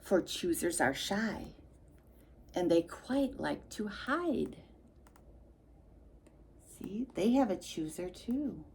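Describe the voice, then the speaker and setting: Woman, children's storybook read-aloud, sing-song, highly animated intonation, slow pace, chatty asides. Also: a little hiss or hum. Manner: expressive, sing-song, addressing children directly.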